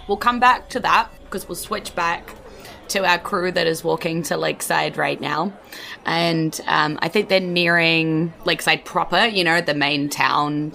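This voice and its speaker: A woman's voice: sits low, vocal fry, sharp tone. A woman with a New Zealand accent casually improvising narration as game master of a tabletop game.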